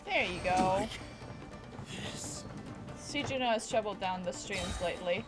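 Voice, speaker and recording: A woman's voice: medium pitch, a little slow. A woman livestreaming a video game, chatting casually and playfully to the game and her viewers. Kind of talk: casual gameplay commentary and chat. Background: video game music.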